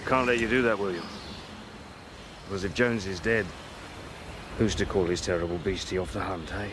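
An actor playing a sly, wheedling pirate captain with teasing, theatrical phrasing in an audio drama. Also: male voice, low voice, steady tone, normal speed, noisy background, lightly acted. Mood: wary, cajoling. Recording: loud music under the voice.

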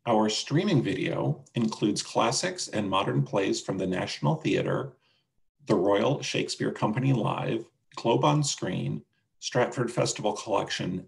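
A man with a low-pitched voice and a noticeably creaky, fry-laden edge presents a product demo in a webinar. He speaks into a video call microphone as he takes an online group through his slides. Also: low-quality recording. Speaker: senior account manager presenting a product demo.

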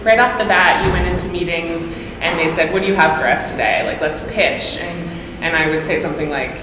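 Brief: low, chatty woman moderating a panel on a table mic, roomy hall sound. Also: brisk. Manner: chatty, lots of "like" and "um", acts out quoted exchanges. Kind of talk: casual panel talk. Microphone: table microphone. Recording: echoey hall with background noise.

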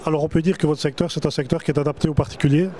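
A middle-aged man works the trade-fair floor with a handheld mic, talking fast in a chatty, salesman-like patter. His voice sits a bit high but sounds dark and mellow. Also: fairly even intonation, slight background noise. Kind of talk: promotional interview at a trade show. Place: exhibition hall stands. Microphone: handheld microphone.

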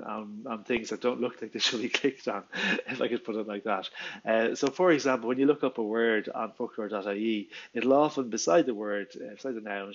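A man's voice: on the low side, muffled recording. A man in his forties with an Irish accent, a native Irish speaker, giving an enthusiastic, chatty explainer video from a small room at home, with many fillers and restarts. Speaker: Irish language teacher. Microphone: headset, video call framing.

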